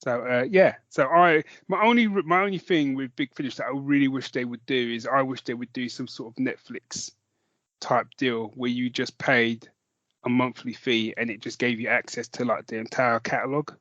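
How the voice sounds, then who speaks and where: A man's voice: mid-range voice, fairly even intonation, normal speed, a bit bright, dry recording, muffled recording. A man co-hosting a podcast in casual chat, jokey and self-mocking, with some swearing. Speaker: podcast co-host. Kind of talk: casual chat, recommending audio dramas.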